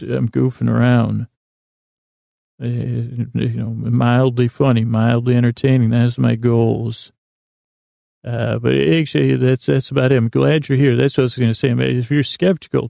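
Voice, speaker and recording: A man hosting a sleep podcast in a rambling, halting bedtime monologue, losing his thread and restarting in a gravelly voice. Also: mid-range voice, dark tone, no room sound, studio quality. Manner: meandering, halting, self-interrupting, absent-minded.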